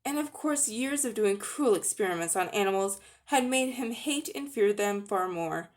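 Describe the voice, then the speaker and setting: Expressive woman reading a storybook aloud. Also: medium pitch, normal speed. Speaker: audiobook-style narrator. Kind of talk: dramatic reading of a children's storybook.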